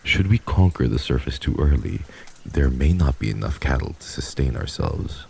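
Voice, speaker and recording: Give the vampire lord a rock-bottom, mellow-dark voice. He is heartless and commanding, speaking in pompous, archaic menace as in a fantasy audio roleplay. Background noise sits behind him. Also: a bass voice, lively intonation, normal speed, vocal fry, heavily acted. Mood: cold, commanding. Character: heartless male vampire lord, cold commanding crime boss.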